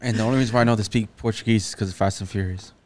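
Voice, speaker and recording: A man's voice: on the low side, steady tone, very fast. A young man chatting in casual podcast banter, laid-back and matter-of-fact. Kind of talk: casual banter among friends.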